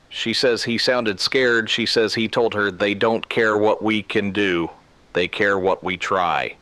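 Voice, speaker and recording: A man playing a grave emergency radio broadcaster in a scripted drama, speaking solemnly and deliberately in short, clipped sentences. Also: fairly low pitch, pushed and bright, dry recording. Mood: grave, urgent. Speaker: radio news host.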